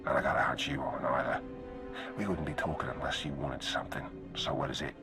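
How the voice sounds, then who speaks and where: An actor playing a wary, gruff, streetwise gang boss in a video game, blunt and slangy. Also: male voice, rumbling low, fairly even intonation, quick pace. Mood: dismissive. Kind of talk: video game cutscene dialogue. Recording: background music.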